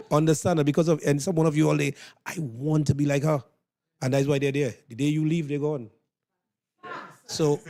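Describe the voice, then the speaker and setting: A Trinidadian man hosts a radio interview in a conversational, encouraging style. His voice sits at a middle pitch and has a soft, mellow tone. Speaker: radio host.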